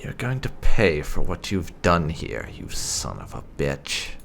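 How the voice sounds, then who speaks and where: A man's voice: extremely low pitch, big pitch swings, unhurried, gravelly, fairly bright tone, slight background noise. A man giving casual, dryly wry commentary while playing a roleplaying video game. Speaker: gaming channel host. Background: game music.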